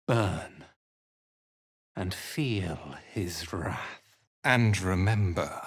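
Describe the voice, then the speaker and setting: A cold fantasy-game villain, a zealous commander of a cult. His delivery is slow and theatrical, swinging widely in pitch with haughty disdain. The sound is dry and close. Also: a bit bright, slightly rough, no echo, exaggerated voice. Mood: hushed zeal. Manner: disdainful, measured, theatrical, imperious. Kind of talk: fantasy video game dialogue.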